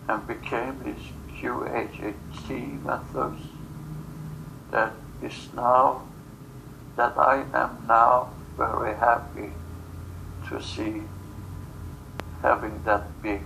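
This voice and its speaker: Halting, very creaky non-native English-speaking man. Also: medium pitch, pushed and bright, a little hiss or hum, poor audio. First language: not English. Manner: halting, fragmented phrasing with long pauses. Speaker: subject of a channeling session. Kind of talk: trance channeling in guided session.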